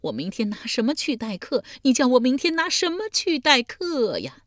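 Brief: woman performing a desperate old peasant woman, shrill and loud in her storybook lament, pitch swinging wide. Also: very animated, unhurried, dry recording, studio quality. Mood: wailing. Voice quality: high wailing crying voice. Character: wailing distraught old village woman.

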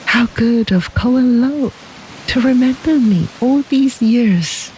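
A storyteller voicing a gentle, devoted young woman who speaks softly, almost cooing. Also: medium pitch, takes it slowly, a little hiss or hum, voice pushed up, close to an everyday voice, poor audio. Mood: tender. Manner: soft cooing delivery.

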